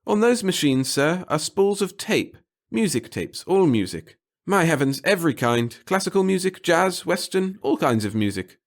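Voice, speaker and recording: Fussy little male clerk, acted by a man, obsequious and pompous, pitched up high, sci-fi audiobook character with florid, babbling delivery. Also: big pitch swings, moderate pace, few pauses. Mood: grandiose, proud.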